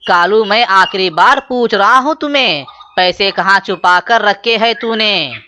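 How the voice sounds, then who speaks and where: A suspicious, demanding boss bird from a children's cartoon , acted by a woman. The voice is medium in pitch and very bright, with a stern manner and some noise on the recording. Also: measured pace. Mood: accusing, threatening. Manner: stern, accusing. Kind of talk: children's cartoon story dialogue.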